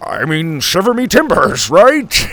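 A man voicing a goofy, awkward grandfather in a children's audiobook, haltingly attempting hammy pirate talk. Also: high voice, big pitch swings, fairly bright tone, pitched above the performer's own voice, heavily acted. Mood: flustered, goofy. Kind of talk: children's adventure audiobook character voice.

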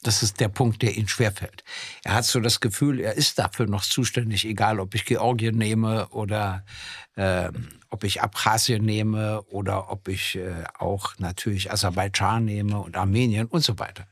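A man's voice: lowish voice, steady tone, moderate pace, fairly bright tone, husky, dry recording, clean studio sound. An older man, debating politics in a conversational way, explaining step by step with small hesitations. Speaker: podcast co-host, politician. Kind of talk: political discussion.

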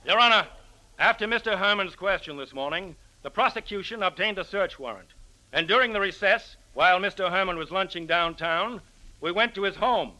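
Sharp, high-voiced American prosecutor. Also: male voice, rough recording. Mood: accusing. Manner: formal, declamatory courtroom delivery. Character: earnest, formal male prosecuting district attorney. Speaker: actor in a radio play. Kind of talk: old-time radio drama acting.

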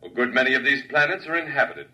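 An actor playing a dignified alien lecturer in the guise of an elder gentleman, speaking formally and grandly in a classic radio drama. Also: medium pitch, quick pace. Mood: lofty explaining. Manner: stately, formal, reassuring, grandiose. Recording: distant-miked vintage radio recording.

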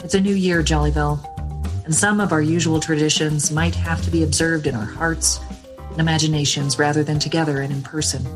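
Lady as a cheery small town morning radio host, low voice, music bed behind her. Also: lightly acted. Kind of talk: scripted morning radio announcing.

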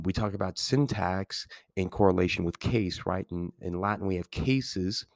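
Deep calm guy rambling through his podcast, kinda gravelly, dry sound, still getting over a cold. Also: no echo. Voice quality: calming, soothing voice. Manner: rambling, many fillers and restarts. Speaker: podcast host and teacher. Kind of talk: casual solo podcast talk.

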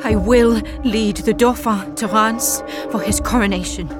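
A woman's voice: mid-range voice, fairly even intonation, measured pace, natural voice. An actress playing a devout, resolute teenage peasant girl who leads an army, in an earnest audio drama style. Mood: solemn conviction. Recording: dramatic music behind the voice.

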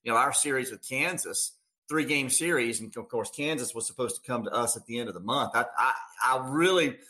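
A man's voice: medium pitch, a little fast, pushed and bright. An American man, a radio sports announcer, talking conversationally in an interview with emphatic, folksy phrasing.

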